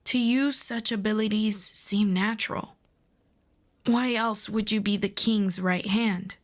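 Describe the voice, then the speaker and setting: Woman playing a slow-spoken, proud demon princess. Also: mid-range voice, steady tone, natural voice. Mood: surprised, insistent. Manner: formal, deliberate, persuasive. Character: proud, earnest demon princess pleading for peace. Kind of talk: scripted fantasy audio roleplay.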